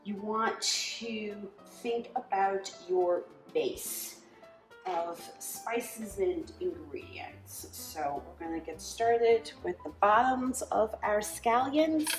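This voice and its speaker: A woman's voice: medium pitch, echoey room. A woman hosting her own home cooking show, chatting casually through a recipe with filler words and asides.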